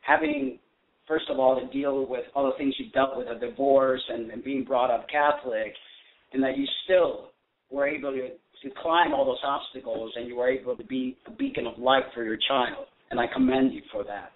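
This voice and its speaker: A man's voice: mid-range voice, very animated, moderate pace. A man hosting a call-in radio show, speaking English as a second language, praising his guest earnestly and reading prepared questions. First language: not English. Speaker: radio host. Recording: phone-like lo-fi sound.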